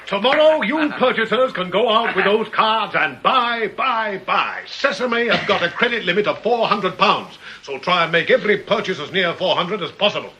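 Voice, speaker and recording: Brisk, forceful gang second-in-command. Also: male voice, high-pitched, animated, slight background noise. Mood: brisk, instructing. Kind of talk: radio drama acting.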